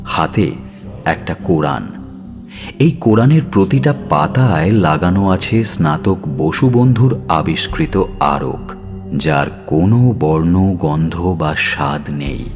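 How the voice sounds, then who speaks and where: A man narrating a scripted audio story in a measured, dramatic style. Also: low-pitched, animated. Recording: music bed under narration.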